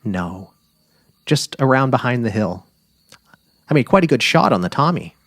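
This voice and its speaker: A man's voice: mid-range voice, big pitch swings, normal speed, a bit bright, dry recording, clean studio sound, voice pushed up. A man voicing an affectionate middle-aged wife on safari, eager and tender, in a dramatic literary reading. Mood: bright, chatty. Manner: cheerful, eager, tender, imploring.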